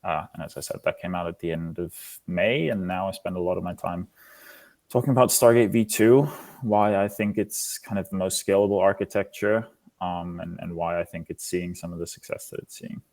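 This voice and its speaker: A man's voice: low voice, fairly even intonation, fairly quick, darkish tone. A man in his twenties from Singapore, explaining his work as an interview guest. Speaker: interview guest, foundation lead. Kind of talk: interview answers, explanatory talk.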